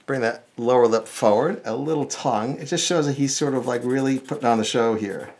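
A man's voice: mid-range voice, fairly quick, a little mellow. A middle-aged American man giving a casual step-by-step drawing lesson, thinking aloud informally as he works. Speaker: cartoonist, drawing instructor.

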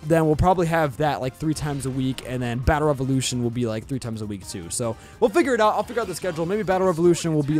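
A young man with a Southern US accent, rambling excitedly and casually as he commentates his Let's Play at home. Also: on the high side. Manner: chatty, rambling, excitable, says bro and yo. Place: home recording setup with webcam. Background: faint game music.

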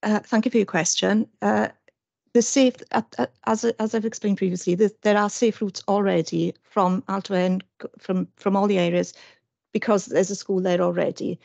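A woman's voice: mid-range voice, fairly even intonation, normal speed, vocal fry, darkish tone, no echo. A woman official answering a question at a council meeting, hesitant and self-correcting. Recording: dry, dull call audio.

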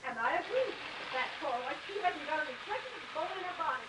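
An actress playing a chatty, fussy, well-meaning middle-aged aunt in a 1950s Hollywood melodrama. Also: female voice, high voice, very animated, quick pace, noisy background. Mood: indignant, chatty. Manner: talkative, fussing, gossipy. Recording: old 1950s film soundtrack.

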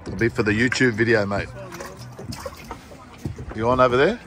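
A man's voice: mid-range voice, steady tone, moderate pace, music in the background. A man in his thirties with an Australian accent, cheerfully commenting on a fishing trip from a small boat, filmed on a phone. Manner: cheery, whoops loudly at each catch. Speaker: fishing vlogger filming from boat. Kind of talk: excited casual commentary while fishing. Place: small open motorboat on a bay, outdoors.

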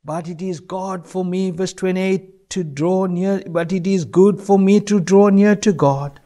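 A man's voice: high-pitched, steady tone, a little slow, mellow. An older man, a pastor, preaching and reading scripture in an emphatic, exhorting manner with repeated phrases. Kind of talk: fervent sermon with scripture reading. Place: small church room, indoors, at a lectern.

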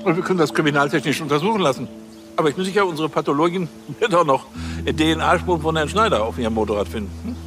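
A man's voice: fairly high pitch, expressive, fast talker, music in the background, lightly acted. An actor playing an officious, self-satisfied policeman in a TV crime comedy, fussy and fond of questioning tags. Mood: smug.

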